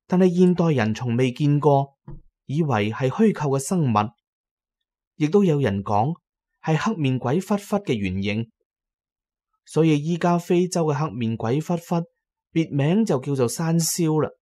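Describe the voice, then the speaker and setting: A man with a Hong Kong Cantonese accent delivers scripted first-person narration for an audio drama. His voice sits at a medium pitch, and he tells the adventure tale as a storyteller. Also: dark tone.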